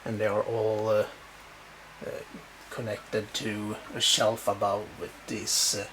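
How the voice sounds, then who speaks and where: A man with a Swedish accent, whose first language is Swedish, casually showing his bookshelves at home and talking in halting bursts with many fillers. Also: on the low side, monotone, a bit bright, slight background noise, rough recording. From Sweden. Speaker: book collector showing his shelves. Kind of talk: casual home video walkthrough. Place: home room.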